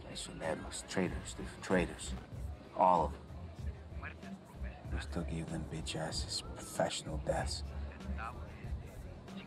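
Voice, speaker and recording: An actor playing a crude, tough male cop on a stakeout who talks in hushed, swearing tones. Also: rumbling low, little pitch movement, slow, long pauses. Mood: scornful. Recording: loud music over dialogue.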